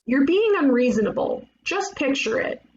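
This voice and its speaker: A woman's voice: high-pitched, sing-song, moderate pace, a little mellow, muffled recording. Woman playing brash young cartoon detective, roomy noisy recording. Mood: grandiose pitching. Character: brash, excitable young female ghost detective, donut-loving twin sister. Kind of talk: animated comedy table read.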